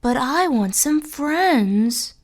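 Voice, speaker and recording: A young schoolgirl speaks in a small, raised voice, soft and tearful as she pleads. A man narrating a storybook acts her out, slow and careful. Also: very high voice, lively intonation, voice pushed up, exaggerated voice. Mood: sobbing. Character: sad sporty young schoolgirl.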